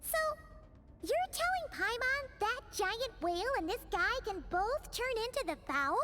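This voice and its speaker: A woman voicing a tiny, excitable female companion sprite who talks about herself in the third person. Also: extremely high pitch, measured pace, full character voice. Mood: curious. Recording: game audio with soft music behind.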